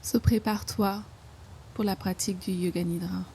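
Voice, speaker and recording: A woman guiding a yoga nidra relaxation in slow instructions, with hushed, breathy phrase endings and an unhurried manner. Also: mid-range voice, fairly even intonation, a little hiss or hum. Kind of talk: guided meditation, slow soothing instruction.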